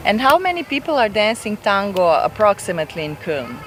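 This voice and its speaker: A woman's voice: mid-range voice, big pitch swings, brisk, a little hiss or hum, rough recording. A woman asking casual interview questions in a conversational way.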